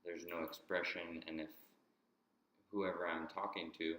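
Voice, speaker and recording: A man explaining a topic in a halting way, often restarting and repeating words, and speaking English as his first language. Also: deep voice, flat delivery, pushed and bright, echoey room. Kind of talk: explanatory monologue.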